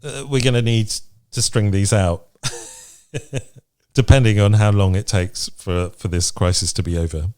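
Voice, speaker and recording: A man's voice: lowish voice, fairly even intonation, a little slow, darkish tone, clean tone. A British man co-hosting a podcast, chatting casually and reading out lists in a frank, playful way. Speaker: podcast co-host. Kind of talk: casual chat, reading out from a list.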